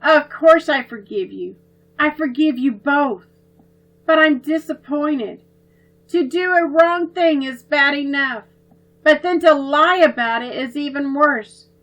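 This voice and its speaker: A woman voicing a kind older brother in a storybook, gently firm and patient as he teaches his sisters. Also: sits high, slow, lo-fi sound, soft background music, pitched above the performer's own voice, full character voice. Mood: gentle.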